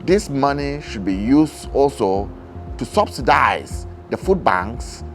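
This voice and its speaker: A man's voice: mid-range voice, big pitch swings, slow pace, faint music behind. A man with a Cameroonian accent giving a personal account in a documentary interview. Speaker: food bank user.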